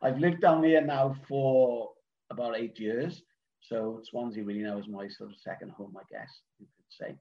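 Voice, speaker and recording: A middle-aged man with a Welsh accent talks casually, wandering through his thoughts with frequent ums and false starts. His voice sits at an ordinary pitch. The sound is dull and lo-fi, like a remote online call. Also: moderate pace, creaky. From Wales. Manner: rambling, hesitant, many fillers and restarts. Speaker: guest, event organiser. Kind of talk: casual podcast conversation.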